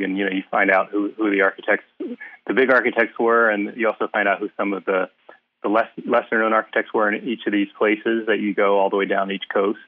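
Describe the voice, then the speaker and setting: A guest author from the Philadelphia area chatting in an interview, hesitant with lots of uhs but friendly. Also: male voice, on the low side, no room sound. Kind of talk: casual interview chat. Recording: tinny phone-like line, dry.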